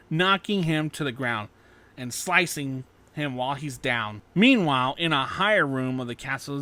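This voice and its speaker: A man's voice: fairly high pitch, a bit bright. A man reading an action fan fiction story aloud as a dramatic narrator.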